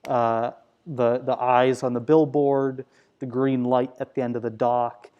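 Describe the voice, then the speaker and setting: A man, a minister, asking and answering questions in a live church conversation, speaking in a hesitant, halting way. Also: medium pitch, flat delivery, clear, resonant tone, highs cut off.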